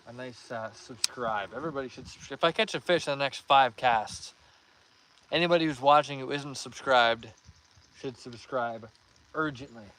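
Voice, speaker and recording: A young man hosting a live fishing stream outdoors, reading viewer comments aloud and giving upbeat shout-outs in a relaxed voice. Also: medium pitch, moderate pace, a little hiss or hum, rough recording. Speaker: fishing livestream host.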